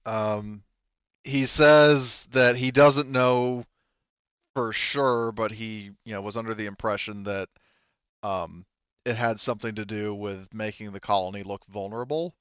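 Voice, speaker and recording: A man improvising as game master of a tabletop roleplaying game, halting as he searches for words and swearing casually. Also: fairly low pitch, fairly even intonation, a bit bright, clean tone, no room sound, lo-fi sound. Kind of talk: improvised narration of a tabletop roleplaying game, relaying characters' replies.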